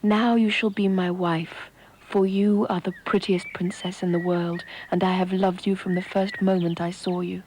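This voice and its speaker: A woman voicing a lovestruck handsome young prince in a soft whisper. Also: on the low side, level tone, normal speed, background noise, lightly acted. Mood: tender. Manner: whispered, tender.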